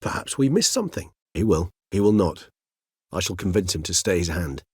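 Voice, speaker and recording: Game role: elderly vampire gentleman-scholar. Measured, bookish, gently weary; a touch husky, recorded close and dry. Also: deep voice, expressive, no room sound. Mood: grave, reflective. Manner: measured, courtly, bookish phrasing with thoughtful hums. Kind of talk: video game character dialogue.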